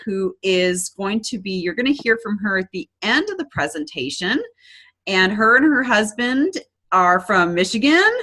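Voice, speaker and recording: A woman's voice: mid-range voice, bright, forceful tone. A woman hosting a casual online business presentation, chatty and upbeat, with frequent ums and restarts. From Canada.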